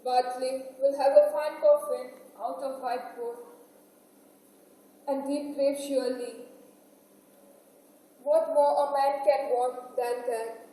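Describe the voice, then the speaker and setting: A woman's voice: high-pitched, steady tone, slow, pauses often, mellow. A woman on stage playing a grieving, resigned old mother, lamenting solemnly in a declamatory way. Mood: solemn prayer. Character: grieving old Irish mother, resigned after losing her sons. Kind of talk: amateur stage tragedy acting. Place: college stage performance in a hall. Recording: echoey hall, distant mic, faint noise.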